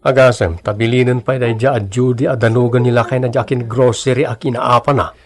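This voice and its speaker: A man's voice: mid-range voice, steady tone, brisk, close to an everyday voice. A man acting a gossipy, opinionated husband chatting earnestly in a radio drama.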